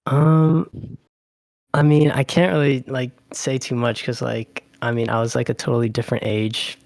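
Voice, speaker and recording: A young man from Japan talks loosely as a podcast guest, circling his points with plenty of fillers. His voice sits at an ordinary middle pitch. The sound is close and dry. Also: moderate pace, creaky, no room sound. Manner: relaxed, rambling, heavy on fillers. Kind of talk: casual chat.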